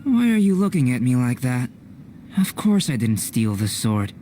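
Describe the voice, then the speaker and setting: An actor playing a cerebral, politely enigmatic young alchemist in a video game, speaking in a measured, formal way. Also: male voice, mid-range voice, sing-song, dark tone, close to an everyday voice. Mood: calm, explanatory. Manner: measured, formal, precise, unflappable. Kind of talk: video game cutscene acting. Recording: clear background noise.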